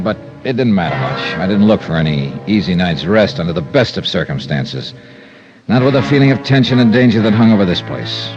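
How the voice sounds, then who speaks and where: An actor playing a wry, cool-headed investigator in a 1950s radio crime drama. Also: male voice, fairly low pitch, natural voice. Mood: tense, grim narration. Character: hard-boiled, wry, cool-headed male insurance investigator. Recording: muffled old radio recording, music underneath.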